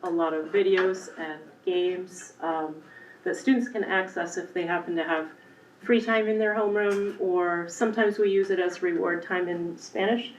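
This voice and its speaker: A woman's voice: fairly low pitch, steady tone, normal speed, slight background noise. A woman elementary Spanish teacher presenting conversationally at a school committee meeting, with frequent ums. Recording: echoey room, distant, muffled.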